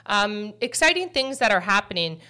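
A middle-aged woman hosts a podcast in a relaxed, chatty way. Her voice sits at an ordinary middle pitch. She tells stories with lively energy and plenty of "you know" and "um". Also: normal speed. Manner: chatty, animated storytelling with frequent fillers. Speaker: mortgage podcast host. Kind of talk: casual chat.

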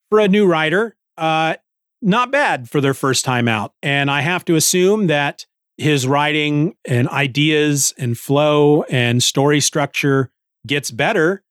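A man's voice: fairly high pitch, fairly bright tone, dry recording, clean studio sound. A middle-aged man hosting a solo podcast, giving a casual book review in a rambling, conversational way, as if thinking aloud. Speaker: podcast host.

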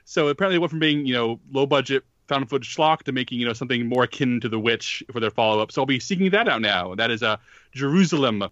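A man's voice: medium pitch, fast, fairly bright tone, no room sound, highs cut off. A man talking casually as a podcast co-host, reviewing a film with rambling asides and chuckles. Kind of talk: casual chat.

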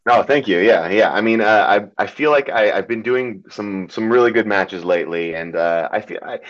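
A man who grew up in Germany talking about wrestling in a casual interview, halting and full of ums, often laughing. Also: fairly low pitch. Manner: hesitant, many ums and restarts, laughs often. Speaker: pro wrestler guest. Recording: dull lo-fi sound.

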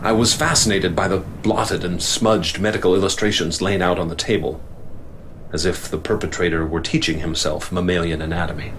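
A middle-aged man plays a professor recounting an expedition in a radio drama. His delivery is deep, slow and grave. A faint hiss sits under the recording. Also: fairly even intonation, lightly acted. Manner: measured, grave storytelling. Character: male professor leading an expedition, grave and measured. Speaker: narrator. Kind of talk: first-person radio drama narration.

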